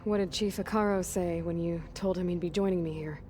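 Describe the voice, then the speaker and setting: Young female team leader, played by an actress, low dark mellow voice, earnest, direct, natural game acting. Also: steady tone, brisk, soft background music, close to an everyday voice. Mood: matter-of-fact. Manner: direct, plain-spoken. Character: earnest young woman warrior leading a team, direct, inquisitive.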